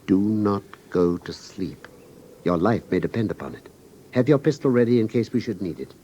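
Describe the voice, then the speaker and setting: An actor playing a shrewd, commanding gentleman detective in a British radio drama, speaking in a precise, articulate, authoritative manner with a British accent. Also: fairly low pitch, lively intonation, normal speed, natural voice. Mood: hushed, commanding. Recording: noisy old radio drama recording.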